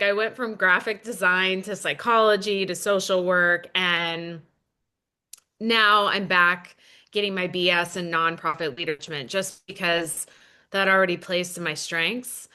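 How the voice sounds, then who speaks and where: Bright-toned woman, average pitch, informal and self-correcting, casual video-call interview. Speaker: adult college student.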